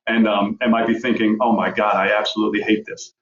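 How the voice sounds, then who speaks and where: A man from Long Island with a faint New York accent, giving a fast, informal webinar presentation over a video call. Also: lowish voice, flat delivery, a bit bright. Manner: fast-talking, informal and direct. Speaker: guest speaker. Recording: echoey, dull video call audio.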